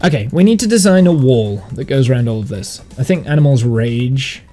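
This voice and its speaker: A man chatting casually on a gaming livestream, thinking aloud in a rambling way, with a slightly croaky, sniffly voice. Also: medium pitch, animated, a little fast, warm tone, faint music behind. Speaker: live streamer and game master. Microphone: streaming mic monitored through headphones.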